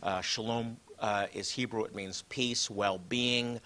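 A man hosting a religious show, teaching conversationally, often halting and repeating words as he explains. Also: on the low side, fairly even intonation, sharp tone.

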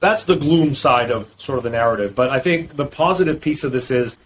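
Talkative lawyer guest. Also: male voice, mid-range voice, brisk, a little hiss or hum. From Boston, US. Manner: fluent, talks in long explanatory runs with frequent fillers. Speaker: guest, real estate lawyer. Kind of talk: podcast interview.

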